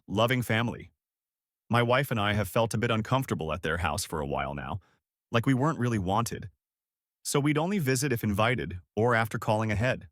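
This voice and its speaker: A man's voice: lowish voice, a bit bright, no echo, studio quality. A man narrating a scripted personal story in the first person.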